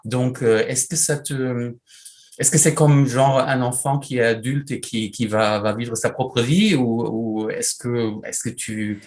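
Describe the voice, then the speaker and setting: A man whose first language is German, a literary translator asking questions and giving measured, prepared remarks in an interview. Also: mid-range voice.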